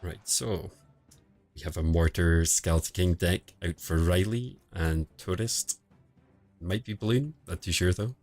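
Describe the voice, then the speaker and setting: Low-voiced man casting a mobile match. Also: unhurried. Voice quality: quiet, low-level voice. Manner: relaxed, low-key, answers chat between play calls. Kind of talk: casual live-stream commentary. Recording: home stream audio with faint music behind. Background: faint background music.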